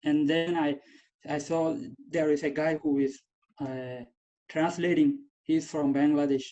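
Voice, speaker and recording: A young man, not a native English speaker, telling his own story with halting, repeated phrases. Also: a little high, level tone, warm tone. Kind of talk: personal testimony. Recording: dull lo-fi sound.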